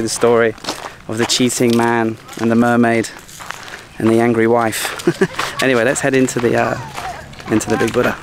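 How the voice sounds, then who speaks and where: A man in his thirties talks to camera as a travel vlogger. His storytelling is casual and animated, at an ordinary male pitch. He is recorded outdoors on a handheld camera, with noticeable ambient noise around him. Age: 30s. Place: outdoors by a seaside sculpture park. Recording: clear outdoor background noise.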